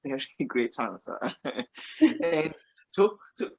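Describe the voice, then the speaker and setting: A man with a husky, slightly breathy voice hosts a radio interview. His pitch rises and falls a lot as he circles around his questions. He restarts phrases before landing on what he means. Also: sing-song, takes it slowly. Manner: rambling, restarts questions, warm laughs. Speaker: radio show host. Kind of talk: casual interview chat.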